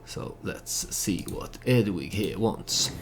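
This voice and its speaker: A man's voice: sits low, expressive, slow. A man giving casual, storytelling commentary on a game he plays, hesitant and thinking aloud as he goes. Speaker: let's play host and storyteller.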